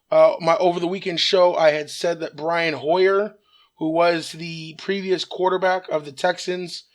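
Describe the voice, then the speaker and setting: A man with a raspy voice hosting his own news commentary show, earnest and measured, with frequent "uh" fillers. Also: a little high, fairly bright tone.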